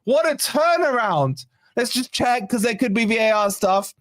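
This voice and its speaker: A man hosting a fan channel's live watch-along, hoarse from shouting, giving excited, partisan play-by-play with swearing. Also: extremely high pitch, moderate pace, no echo, clean studio sound. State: hoarse, losing his voice. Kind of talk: excited fan match commentary.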